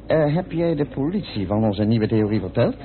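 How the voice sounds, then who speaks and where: An actor playing a chummy, easily indignant British parliamentarian in a Dutch radio play, full of questions and exclamations. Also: male voice, mid-range voice, sing-song, normal speed, lightly acted. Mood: taken aback. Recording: narrow, phone-like old radio recording.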